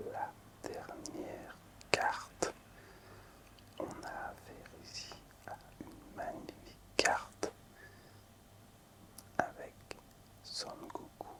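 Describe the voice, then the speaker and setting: Whispering man, ASMR host. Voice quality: breathy, unvoiced whisper. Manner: hushed, hesitant, appreciative. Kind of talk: whispered ASMR, casual card showcase.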